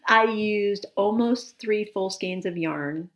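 A woman's voice: mid-range voice, slow. A woman co-hosting a knitting podcast, chatting enthusiastically and in detail, sniffling a little. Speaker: podcast co-host. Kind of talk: casual chat about knitting.

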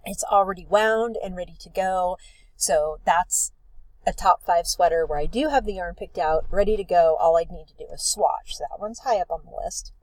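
A woman with a slightly low voice talks in a relaxed, rambling way as a podcast co-host. She is sniffly from allergies, and the recording is dry and close. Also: normal speed, no echo, low-quality recording. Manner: relaxed, rambling, self-deprecating. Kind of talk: casual chat. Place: outdoors at a beach.

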